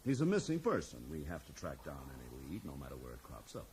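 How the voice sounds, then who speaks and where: Cool investigator, businesslike, lively intonation, slightly noisy old radio drama. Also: male voice, extremely low pitch, fast talker, full character voice. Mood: cool, probing. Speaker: actor. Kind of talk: 1980s radio drama acting. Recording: faintly noisy 1980s radio recording.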